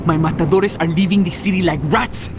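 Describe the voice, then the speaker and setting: An actor playing a jittery, volatile drug boss in a video game, with a Spanish accent. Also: male voice, sits high, steady tone, fairly quick, background noise, natural voice. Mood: desperate pleading. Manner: agitated, pleading, swings between threats and grovelling. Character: jittery, grovelling male gangland drug boss with violent temper. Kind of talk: video game cutscene acting. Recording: loud music behind.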